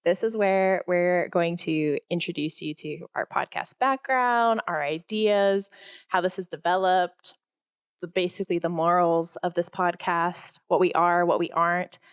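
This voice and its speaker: Woman co-host, slightly low voice, teasing and giggly, relaxed podcast banter. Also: a little slow, clean tone. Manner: chatty, laughs often, teasing. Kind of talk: casual chat. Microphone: podcast microphone.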